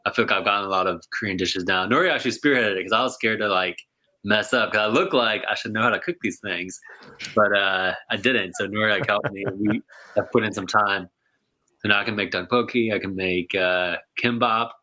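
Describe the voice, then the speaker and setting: A man's voice: fairly low pitch, brisk, pushed and bright. A man guest on a casual podcast chat, talking in an easygoing way.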